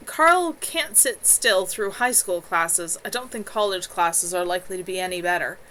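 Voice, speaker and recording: A woman's voice: lowish voice, normal speed, sharp tone, slight background noise, poor audio. A woman voicing a sensible, wry young witch.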